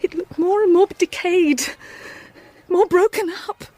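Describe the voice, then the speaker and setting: A woman acting a frightened, haunted wife in an audio drama, speaking intensely and haltingly, often close to a whisper. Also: very high-pitched, warm tone, no room sound. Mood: haunted, fearful. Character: frightened, haunted wife, fixated on a phantom boat.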